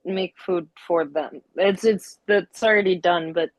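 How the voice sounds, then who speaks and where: A woman's voice: fairly low pitch, measured pace, no echo. A woman cast member chatting casually and cheerfully after a table read. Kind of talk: casual chat.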